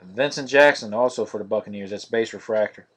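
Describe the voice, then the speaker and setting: A man hosting a live-streamed card break, naming each card in a steady, monotonous voice. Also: lowish voice, a little fast, husky. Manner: monotonous, steady listing of cards.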